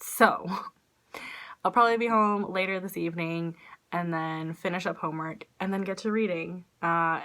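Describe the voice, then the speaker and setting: Bright-voiced woman with a stuffy nose. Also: fairly low pitch. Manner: rambling, self-deprecating, lots of "like" and "um". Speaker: vlogger. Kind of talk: casual chatty vlog. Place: small room indoors. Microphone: phone camera at arm's length.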